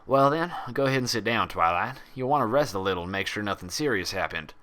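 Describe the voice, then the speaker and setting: Man voicing a stern, bright-toned female pony doctor. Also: lowish voice. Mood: stern, concerned. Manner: even, measured.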